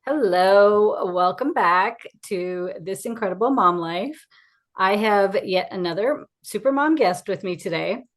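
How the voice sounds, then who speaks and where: A woman's voice: medium pitch, lively intonation, a little slow. A middle-aged woman speaking as a podcast host, introducing the show and interviewing a guest. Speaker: podcast host, wellness coach.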